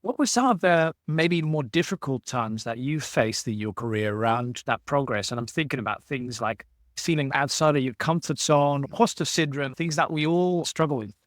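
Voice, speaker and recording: A man's voice: medium pitch, moderate pace, no room sound, clean studio sound. A man hosting a podcast, asking conversational interview questions in an encouraging, informal way. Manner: encouraging, casual, informal phrasing.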